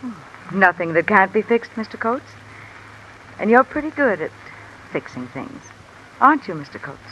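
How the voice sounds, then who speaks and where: Cool, calculating blackmailing wife, sharp-voiced. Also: female voice, mid-range voice, normal speed, poor audio. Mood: coolly insinuating. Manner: insinuating, controlled, coolly commanding. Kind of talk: 1950s American radio mystery acting. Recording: old radio recording with steady rain noise. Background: rain falling.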